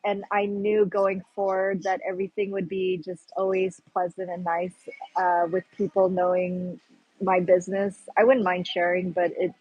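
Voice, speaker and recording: A woman's voice: medium pitch, a little mellow, breathy edge, no echo, poor audio. A woman from the Pacific Northwest with an American accent of that region, chatting on a livestream and rambling as she searches for words. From Pacific Northwest, United States. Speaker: livestream host. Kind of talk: casual livestream chat.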